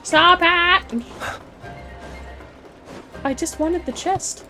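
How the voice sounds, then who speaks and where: A woman's voice: very high-pitched, extremely slow, over music. A woman giving casual, gushing reaction commentary as a game streamer. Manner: gushing, exclamatory.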